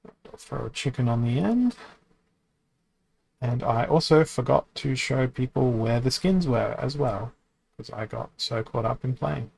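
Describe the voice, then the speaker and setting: Slow, gravelly-voiced man musing aloud. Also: mid-range voice, darkish tone, poor audio. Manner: thinking aloud, correcting himself, many hesitations. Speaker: game streamer. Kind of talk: casual commentary while playing a card game.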